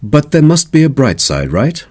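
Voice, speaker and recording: A man's voice: fairly high pitch, big pitch swings, normal speed, a little mellow, no echo, studio quality. A man playing a curious, appreciative questioner in a scripted listening-test dialogue. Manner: inquisitive.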